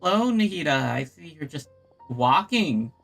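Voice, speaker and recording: A woman's voice: low voice, sing-song, a little slow, creaky, over music. A woman game streamer giving casual playthrough commentary, reading along and reacting.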